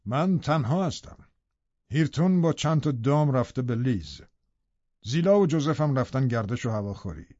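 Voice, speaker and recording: A man's voice: mid-range voice, animated, a little mellow, no echo, dull audio, natural voice. A man acting a menacing, sardonic, domineering father. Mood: falsely courteous. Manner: commanding, sardonic, barely contained anger. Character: menacing, domineering, sneering father, falsely hospitable villain. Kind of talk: audiobook drama acting.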